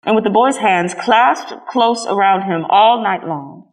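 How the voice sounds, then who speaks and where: A woman's voice: mid-range voice, a little slow, rough recording. A woman reading a children's story aloud as a scripted narration. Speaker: storybook reader.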